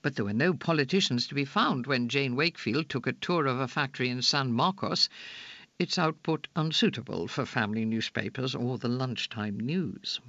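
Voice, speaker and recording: A man presenting a radio programme, reading a scripted link in a brisk announcer manner. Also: medium pitch, sharp tone, no room sound, dull audio.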